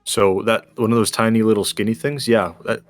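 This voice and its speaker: A man chatting casually as a game co-host in a measured, explanatory way. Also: on the low side, fairly even intonation, no room sound, soft background music. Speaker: gaming co-host. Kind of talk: casual chat during a game.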